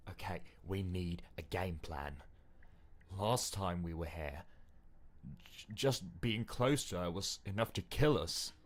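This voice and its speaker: A man's voice: sits low, takes it slowly. A man voicing the anxious, frightened inner voice of a doomed adventurer, fretful and pleading. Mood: nervous planning. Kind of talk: dramatic game dialogue.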